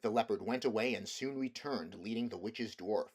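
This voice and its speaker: A man's voice: lowish voice, normal speed, a little mellow. A man reading a children's fantasy novel aloud as scripted storybook narration. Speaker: amateur audiobook reader.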